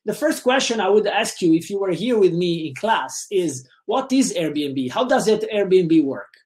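A man's voice: sits high, expressive, a little slow, a little mellow. A middle-aged Italian man with an Italian accent, giving an online lecture over a webcam call, with many fillers and repeated words. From Italy. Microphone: webcam video call.

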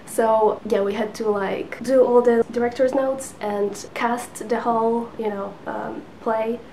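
A woman's voice: fairly high pitch, fairly even intonation, a little slow, dark tone, a little hiss or hum, poor audio. A woman in her twenties whose first language is Polish, chatting to the camera from her couch with lots of fillers and little laughs. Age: 20s. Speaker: book vlogger. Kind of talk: casual chat to camera. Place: living room couch with lamp, indoors. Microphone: camera in front at sitting distance.

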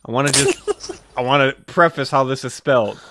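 A young man reading comedy scripts aloud casually and deadpan, sometimes close to giggling. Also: medium pitch, normal speed. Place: small dim room, indoors. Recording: home microphone with background noise, clicks.

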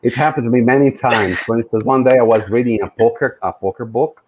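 A middle-aged man from Brazil, a native Portuguese speaker, talking hesitantly on a video call with many pauses. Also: medium pitch, steady tone, darkish tone, a little hiss or hum, poor audio. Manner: hesitant, many fillers and restarts. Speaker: co-host, language learner. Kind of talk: casual video call chat. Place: room indoors.

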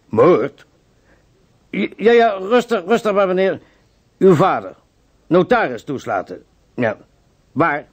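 An actor playing a calm, reassuring police desk officer taking a phone call in a radio drama. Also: male voice, high-pitched, takes it slowly, pauses often, breathy edge, heavily acted. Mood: calm, attentive.

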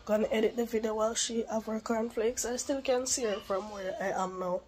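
A woman's voice: on the high side, steady tone, brisk, a little mellow, faint music behind. A young woman with the flu, stuffy-voiced, patiently coaxing her child through homework at home. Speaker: mother and vlogger. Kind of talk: casual home vlog, helping a child with homework.

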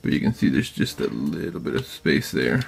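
A man hosting a craft tutorial, talking informally and haltingly as he thinks aloud while working. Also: very deep voice, very animated, creaky, slight background noise. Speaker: DIY maker and video host. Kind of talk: casual hands-on tutorial narration. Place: indoor craft workbench.

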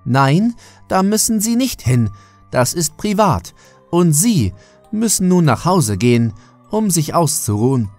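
A man's voice: a little high, big pitch swings, a little slow, a little mellow, no room sound, soft background music, pitched above the performer's own voice. A man voicing a worried, exasperated doctor who speaks firmly to a patient in a horror audio story. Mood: firm, urgent. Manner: urgent, commanding.

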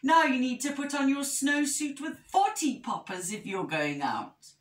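A woman's voice: high-pitched, lively intonation, measured pace, voice pushed up. A woman voicing a fussing, complaining medium-sized bear in a storybook. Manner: fussy. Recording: roomy echo, distant microphone.

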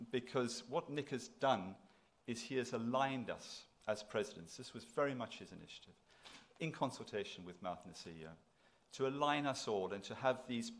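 A middle-aged man giving a public address from a podium, conversational but emphatic, repeating phrases for effect. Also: medium pitch. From South Africa. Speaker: institution president giving inaugural address. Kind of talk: public lecture to an audience. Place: lecture hall, at a podium.

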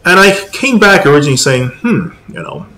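A man from Taiwan who grew up in America, talking casually and haltingly as a comic creator being interviewed, circling back over his phrases. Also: on the high side, huge pitch swings, bright, forceful tone. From Taiwan, moved to America at nine. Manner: rambling, halting, repeats phrases. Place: small room with posters, indoors. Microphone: desk condenser microphone with pop filter.

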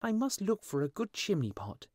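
A man voicing a small, vain, chatty storybook swallow with a brisk, self-important, complaining manner. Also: high-pitched, big pitch swings, darkish tone, no echo, clean studio sound, pitched above the performer's own voice. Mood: indignant. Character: small vain chatty male swallow, fussy but kind.

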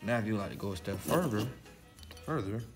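A man's voice: on the low side, animated, a little fast, faint music behind. A man hosting a library story time, presenting to children in the manner of a read-aloud and simple how-to.

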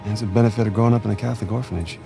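An actor playing a talkative, persuasive American rogue in a cinematic game, animated and cajoling. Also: male voice, on the low side, rapid-fire, creaky, over music. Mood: eager explaining.

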